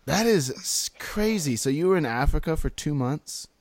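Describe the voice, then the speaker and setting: A man's voice: on the high side, animated, normal speed, no room sound. A man hosting a podcast, upbeat and enthusiastic as he introduces and questions his guest. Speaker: podcast host. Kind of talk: podcast interview.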